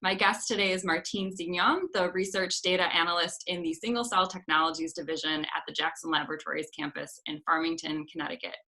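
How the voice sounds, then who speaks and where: Young woman host, clear scripted intro, bright and forceful voice. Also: fairly low pitch, fairly even intonation, fairly quick, few pauses. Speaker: host, genomic educator. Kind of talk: interview hosting. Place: small indoor office on a video call. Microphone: laptop webcam mic on video call.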